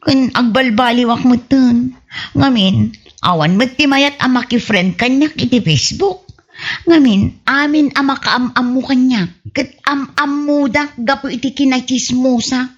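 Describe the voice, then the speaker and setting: An actress with an Ilocano accent playing a sheepish, earnest village gossip and wife in a radio comedy, plaintive and insistent. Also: female voice, high voice, unhurried, breathy edge, muffled recording.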